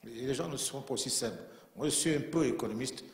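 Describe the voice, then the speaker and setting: A man from Central Africa addresses a conference panel in earnest, emphatic speech, circling back to hammer home his points. His voice sits at an ordinary middle pitch. It carries the long, roomy echo of a large conference hall. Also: fast talker. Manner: earnest, emphatic, repeats himself for weight. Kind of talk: formal conference remarks. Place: conference room in a bank.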